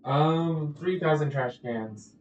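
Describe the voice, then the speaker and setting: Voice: medium pitch, slow pace, slight background noise. Goofy game streamer, echoey muffled recording. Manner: goofy, playful. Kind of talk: casual gameplay commentary with jokes. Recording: echoey, muffled audio with faint music.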